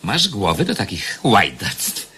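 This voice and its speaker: Radio play villain king: low, forceful, mocking, theatrically animated. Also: takes it slowly, full character voice. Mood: gleeful, commanding. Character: sly, domineering, mocking enemy king, grown man. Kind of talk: radio drama acting.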